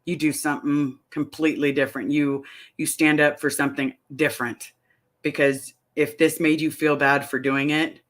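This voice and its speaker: A woman's voice: low voice, little pitch movement, moderate pace. A middle-aged woman chatting casually on a live stream, reading viewer comments aloud and rambling through her answers. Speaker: vlogger answering live chat.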